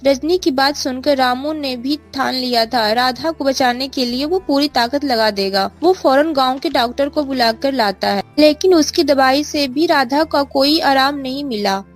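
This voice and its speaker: A woman's voice: high voice, moderate pace, few pauses, faint music behind. A woman narrator reading a scripted bedtime story for children.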